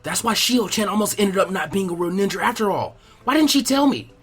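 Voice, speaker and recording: Man doing a high, brash boy ninja. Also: lively intonation, a little fast, faint music behind. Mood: outraged. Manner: loud, impulsive, whiny. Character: brash, loud, indignant boy ninja trainee. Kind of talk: acted fan fiction reading.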